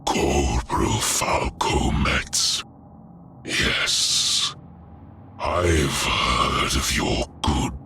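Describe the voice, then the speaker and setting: A man voicing a horrible undead general in an audiobook, menacing yet grimly jovial, with a deep grinding rasp and drawn-out delivery. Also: noisy background, full character voice. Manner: slow, drawn-out, darkly complimentary.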